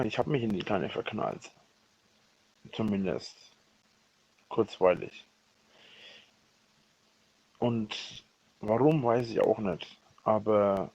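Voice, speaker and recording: Low, husky man in a dull voicemail. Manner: halting, repeats and corrects himself. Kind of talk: rambling personal voicemail monologue. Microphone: voice message recorded on a phone. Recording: dull lo-fi leaked voicemail recording.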